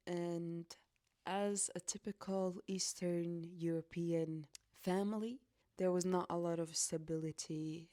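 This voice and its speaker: A young woman from Latvia speaks slowly and earnestly as she hosts her own podcast, telling her life story. Her voice sits a little low and sounds dry and close, with no room around it. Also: dark tone, no room sound. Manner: slow, reflective and earnest. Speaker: podcast host. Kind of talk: personal storytelling monologue.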